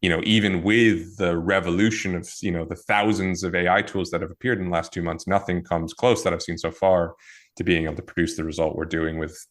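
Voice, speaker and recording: Very deep-voiced man explaining at length. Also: fairly even intonation, brisk. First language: not Portuguese. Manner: thoughtful, many fillers and restarts. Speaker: guest, e-commerce marketer. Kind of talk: casual podcast conversation.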